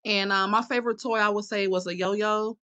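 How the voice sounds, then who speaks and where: A woman from Ohio introducing herself to a class in a relaxed, giggly way. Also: mid-range voice, a little fast, dry recording, highs cut off. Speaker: student.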